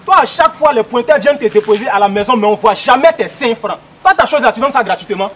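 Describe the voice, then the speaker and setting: A man's voice: very high voice, expressive, fast, a bit bright. A man in a comedy sketch, bickering and accusing. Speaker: comic actor.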